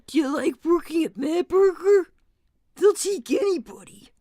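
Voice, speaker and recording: A woman's voice: very high voice, animated, a little slow, dark tone, no echo, studio quality. A woman voicing a brainy, sensitive young boy fox in an improvised cartoon livestream, earnest, hesitant and easily exasperated. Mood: earnest, awkward. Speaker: character performer on a livestream. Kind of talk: improvised in-character conversation.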